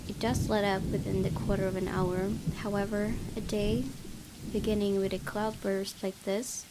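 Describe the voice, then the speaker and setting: A woman's voice: mid-range voice, flat delivery, moderate pace. A woman hosting a gaming video, reading visual novel narration aloud with casual asides. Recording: clear background noise.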